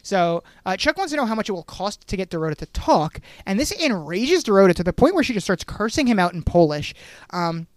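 Podcast co-host, quick-fire delivery, dry close sound, casual and profane, acts out quotes. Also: mid-range voice, no room sound. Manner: fast, animated, swears, acts out quotes. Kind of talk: casual TV recap chat.

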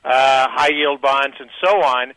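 A man answers a host's questions in a measured, halting way, with frequent fillers as he gathers his thoughts. His tone is bright and forward, and the sound is close and dry. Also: medium pitch, no echo, poor audio. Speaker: guest portfolio manager. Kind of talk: radio interview answers.

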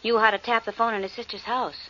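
A woman's voice: on the high side, steady tone, fast talker, fairly bright tone, natural voice. An actress playing a detective's inquisitive, affectionate, teasing girlfriend in 1940s radio drama style. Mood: curious. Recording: dull, hissy old radio recording.

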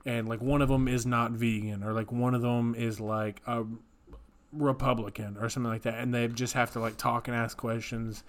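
A man co-hosting a podcast in casual chat, talking in a halting, rambling way peppered with filler words. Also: medium pitch, level tone. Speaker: podcast co-host.

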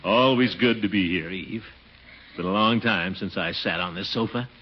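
An American actor playing a pompous, fussy, easily flustered middle-aged uncle in a 1940s radio comedy. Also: male voice, deep voice, normal speed, natural voice. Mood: bashful. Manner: pompous blustering, stammers and chuckles when flustered. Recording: muffled, noisy 1940s radio recording.

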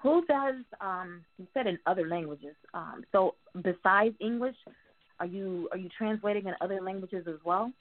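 A woman's voice: mid-range voice, moderate pace. A woman hosting a casual interview, chatty and playful, laughing often as she asks her questions. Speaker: interview host. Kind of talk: casual interview over a call. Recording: phone-like narrow sound.

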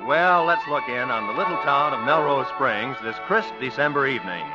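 A male announcer narrates in 1950s radio style. His delivery is lively and varied, with music playing behind him. The recording is a dull vintage broadcast. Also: mid-range voice, moderate pace.

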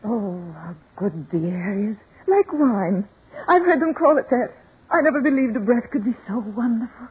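A woman's voice: fairly high pitch, expressive, normal speed, breathy edge. An actress playing a dying woman, rapturous and yearning, in the breathless, theatrical style of 1940s radio melodrama. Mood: rapturous, wistful. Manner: breathless, fervent, theatrical delivery. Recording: muffled, tinny 1940s radio recording with music.

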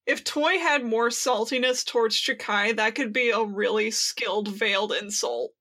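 A woman co-hosting a casual fan podcast, laughing as she talks and excitedly acting out the lines she quotes. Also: on the high side, normal speed. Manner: giggly, laughs while talking. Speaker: podcast co-host.

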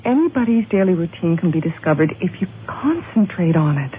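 An actress playing an earnest, intense woman in a radio drama, speaking in urgent, halting, insistent phrases. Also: lowish voice, big pitch swings. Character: earnest, intense woman piecing together a murder, growing afraid. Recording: noisy, phone-like old radio broadcast.

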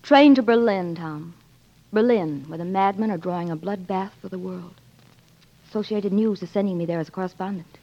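An ambitious newspaperwoman played by an actress in a muffled old radio play. Her medium-pitched voice is earnest and dramatic, with clipped wit and a romantic streak, in classic 1940s style. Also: moderate pace, close to an everyday voice. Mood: torn, resolute. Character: ambitious, romantic American newspaperwoman torn by love.

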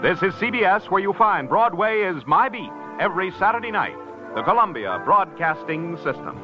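Casting: male American announcer, vintage network radio, fairly high and animated, clear music behind. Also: moderate pace, highs cut off.

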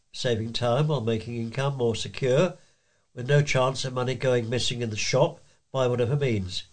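A man reading letters and local items aloud as a volunteer news reader. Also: mid-range voice.

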